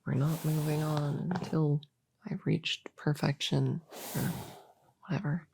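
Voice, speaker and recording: A woman playing an eager, slightly grumpy young novice witch in a soft-spoken ASMR roleplay, chatting casually and hesitantly to a friend in a breathy voice that often drops to a whisper. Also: low-pitched, level tone, slow, slight background noise, heavily acted, rough recording. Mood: grumpy, wistful. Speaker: audio roleplay performer. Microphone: close microphone in a dry space.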